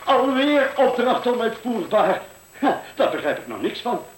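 A man's voice: extremely high pitch, sing-song, a little slow, full character voice. An actor playing a puzzled computer operator who exclaims over what he reads, in a Dutch radio play. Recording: echoey room, slight background noise.

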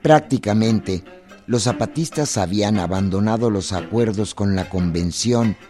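Male narrator, scripted radio history, dark mellow tone, slow pace, background strings. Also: lowish voice. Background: string music.

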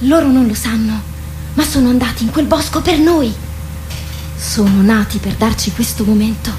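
An actress in a radio drama playing a boastful, impatient teenage warrior girl, bossy and excitable. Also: fairly high pitch, moderate pace, slight background noise, natural voice. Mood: boastful, excited.

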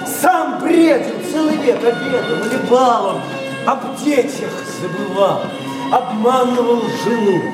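A man's voice: very high voice, expressive, measured pace. An actor playing an effusive, self-abasing, boastful man in a verse radio play, declaiming dramatically. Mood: theatrical confession. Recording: echoey hall, distant, music behind.

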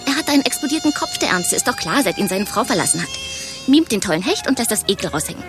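Animated, brash secretary. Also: female voice, a little high, fast, music in the background. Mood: animated, indignant. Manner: gossipy, rambling, exclamatory. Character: brash, chatty, bawdy female office secretary. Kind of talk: German radio play acting.

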